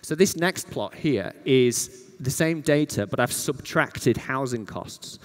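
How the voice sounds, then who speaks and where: A man's voice: mid-range voice, animated, slow pace. Man presenting in a reverberant hall. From Yorkshire, northern England. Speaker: conference speaker, data scientist. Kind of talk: conference presentation with slides.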